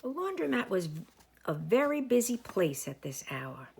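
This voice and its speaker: A woman reading a picture book aloud as a teacher to young children, with expressive, drawn-out emphasis. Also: a little high, vocal fry, slight background noise. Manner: animated, with slow drawn-out emphasis; asides and explanations for young listeners.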